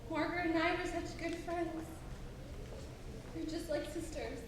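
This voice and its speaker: A distraught, guilt-ridden young woman played by a stage actress speaks in an extremely high voice. She is distant, in heavy echo with clear noise behind. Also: flat delivery, measured pace. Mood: distraught, guilty. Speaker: stage actor. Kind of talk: stage play acting. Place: indoor stage, recorded from afar. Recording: distant, very echoey noisy stage recording.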